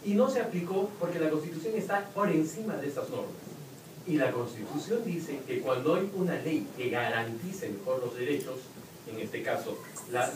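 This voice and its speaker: A man with a Peruvian accent speaking on a university panel, explaining points with examples and occasional restarts. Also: on the high side. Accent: Peruvian Spanish. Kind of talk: academic panel talk. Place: lecture hall at a university event. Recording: roomy, distant hall recording with noise.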